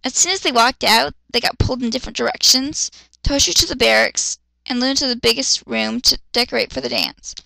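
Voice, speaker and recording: A woman's voice: a little high, animated, a little fast, creaky, sharp tone, dry recording, low-quality recording. A woman doing a dramatic reading of fan fiction, with sarcastic, exasperated, foul-mouthed commentary.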